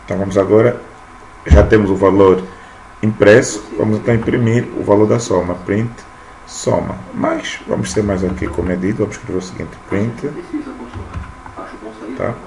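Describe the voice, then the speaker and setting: A man's voice: lowish voice, steady tone, normal speed, gravelly, long pauses, darkish tone. A man in his thirties teaching programming while typing code on screen, often checking in with "tá?". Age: 30s. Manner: talks while typing, frequent "tá?" check-ins. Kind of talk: screen-recorded coding lesson. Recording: noisy room ambience.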